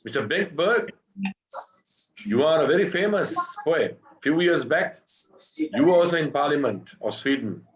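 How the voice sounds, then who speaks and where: A man's voice: on the high side, animated, moderate pace, long pauses, rough recording. A man moderating an online panel, politely reading out questions to the guests. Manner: reads out questions, polite and brief. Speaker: webinar moderator. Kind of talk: moderating a webinar.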